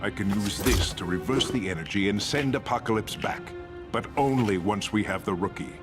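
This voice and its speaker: An actor playing a vain, smug criminal mastermind in a video game, with a boastful, theatrical delivery. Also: male voice, fairly low pitch, moderate pace, music in the background, lightly acted. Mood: businesslike. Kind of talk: video game cutscene acting.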